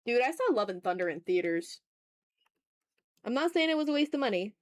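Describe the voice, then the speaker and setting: A woman's voice: on the high side, big pitch swings, a little fast, dry recording. A woman hosting a casual art livestream, chatting in a playful, slangy, exclamatory way while she draws, close to the mic.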